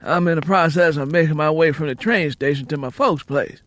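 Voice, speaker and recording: Man voicing high, wheedling, genial drifter musician. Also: fairly bright tone, no echo, natural voice. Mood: wheedling, friendly. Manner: folksy, polite, drawn-out storytelling. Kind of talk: audiobook character dialogue.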